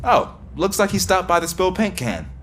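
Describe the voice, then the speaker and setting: Eager rookie cop, lively and higher-voiced. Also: male voice, moderate pace, slight background noise. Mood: casual, excitable. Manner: casual, wry, talkative. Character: eager, chatty young male rookie cop. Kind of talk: video game voice acting.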